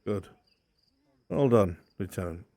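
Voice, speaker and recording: A man's voice: low-pitched, animated, slow pace, mellow, no echo, heavily acted. A man playing a terse, authoritative monster-hunting inquisitor in an improvised fantasy roleplay. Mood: grave, measured. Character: commanding, grave monster-hunting inquisitor, military superior.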